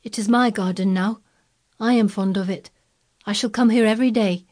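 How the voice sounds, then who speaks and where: A woman puts on a lordly young boy for a storybook, pitched high. He gives orders with great decision and speaks eagerly and emphatically. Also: normal speed, a little mellow, natural voice.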